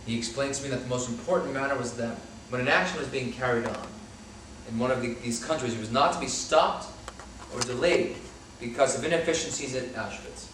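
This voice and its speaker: A man playing a former Nazi commandant in his forties in a staged reading, reciting his testimony in a formal, measured, matter-of-fact way. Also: mid-range voice, natural voice. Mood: formal, unrepentant. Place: hall, recorded from a distance. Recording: very echoey hall, far mic, loud noise.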